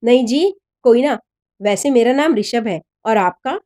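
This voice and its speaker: A woman's voice: fairly high pitch, animated, a little slow, warm tone. A woman voicing a protective, confident older schoolboy with a blunt, brotherly way of talking, in a story reading. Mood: friendly.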